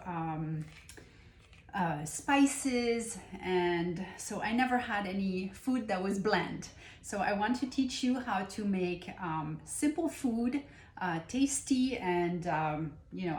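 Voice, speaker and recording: A woman from France with a French accent hosts a cooking demonstration, talking with unhurried enthusiasm and many pauses and fillers. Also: on the low side, slight background noise. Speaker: cooking show host and nutrition coach. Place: kitchen. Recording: echoey kitchen room.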